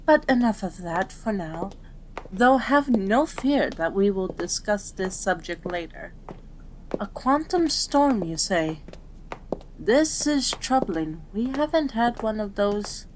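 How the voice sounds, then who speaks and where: A woman's voice: mid-range voice, a little hiss or hum, poor audio. A woman playing a cute, caring fox-spirit doctor in an ASMR roleplay, prim, chiding and easily flustered. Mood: flustered, indignant, then serious, concerned.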